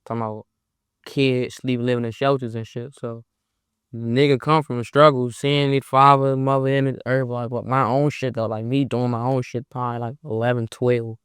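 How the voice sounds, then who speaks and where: Brief: smooth, resonant DC man, laid-back and slangy, dry close mic. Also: mid-range voice, flat delivery, normal speed, no echo. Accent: Washington DC. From Southeast Washington DC. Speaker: rapper, guest. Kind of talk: casual interview chat.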